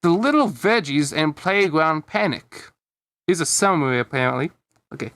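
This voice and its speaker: A man's voice: fairly high pitch, lively intonation, moderate pace, fairly bright tone, no room sound. A man reading a story aloud casually as a fan fiction narrator, dropping in his own offhand asides.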